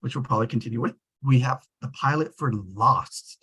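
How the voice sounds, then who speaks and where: A man hosting a table read group, talking casually in an animated, rambling and informal way. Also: medium pitch, a little fast, breathy edge.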